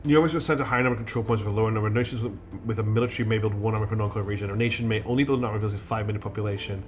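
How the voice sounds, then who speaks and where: A man's voice: on the low side, steady tone, very fast. A man streaming a strategy game live. He thinks aloud in a chatty way, with many ums and restarts, and his nose is slightly blocked.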